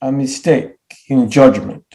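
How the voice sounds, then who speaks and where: A man's voice: medium pitch, a little slow, gravelly, dark tone. A man in a play reading, playing a naive, hopeful, stubbornly proud immigrant who speaks in simple, formal, non-native phrases. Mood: earnest, insistent. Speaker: stage actor.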